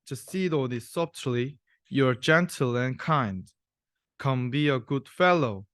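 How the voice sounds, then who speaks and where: Unhurried young man reading a storybook. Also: mid-range voice, expressive, a bit bright, smooth, dry recording, clean studio sound. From South Korea. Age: young adult. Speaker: university student reader. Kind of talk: reading a rhyming children's storybook aloud.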